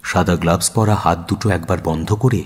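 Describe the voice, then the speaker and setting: An actor playing a detective's earnest companion, narrating a radio drama in a measured, expressive, descriptive way. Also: male voice, low-pitched, slightly rough. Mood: measured storytelling. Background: music.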